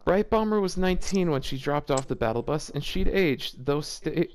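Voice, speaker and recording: Guy with a slightly high voice reading fanfic out loud for youtube, keeps reacting all confused and fed up. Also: expressive, moderate pace. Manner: exasperated, baffled asides. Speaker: YouTuber reading fan fiction aloud. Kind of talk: reading aloud with casual reaction commentary.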